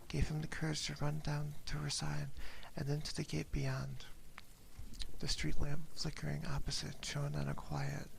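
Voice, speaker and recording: A woman speaking in a soft, breathy whisper very close to a binaural microphone, in the relaxed style of an ASMR streamer. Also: low voice, normal speed, rough recording. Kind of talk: whispered ASMR reading and casual chat. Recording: close binaural microphone, clear background noise.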